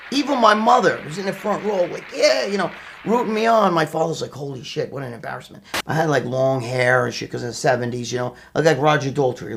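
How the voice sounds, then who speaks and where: A man's voice: fairly high pitch, gravelly, a little hiss or hum. A middle-aged man with a New York accent telling personal stories casually, brash and profane, acting out what people said. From New York, USA. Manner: brash, profane, animated retelling with acted quotes. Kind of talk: casual anecdotal storytelling.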